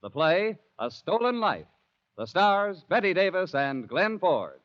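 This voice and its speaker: A man's voice: high voice, sing-song, slow. A man reading scripted credits and promotions as a 1940s radio announcer. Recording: dull vintage 1940s radio recording. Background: music.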